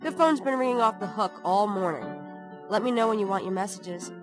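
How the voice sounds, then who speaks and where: An actress playing a sharp-tongued young woman who works in a bookshop, teasing and sarcastic in a studio adventure game. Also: medium pitch, brisk, lightly acted. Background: game music.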